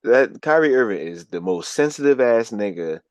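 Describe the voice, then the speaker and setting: A man's voice: medium pitch, animated, normal speed, dry recording. A young American man co-hosting a podcast, talking bluntly and profanely in a casual chat. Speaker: podcast co-host.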